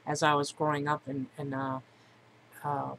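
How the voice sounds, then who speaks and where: A woman's voice: low voice, flat delivery, normal speed. A woman in her forties talking casually and candidly about herself in a reflective personal monologue, as a vlogger would. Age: 40s. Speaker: vlogger introducing themself. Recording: clearly noisy amateur recording.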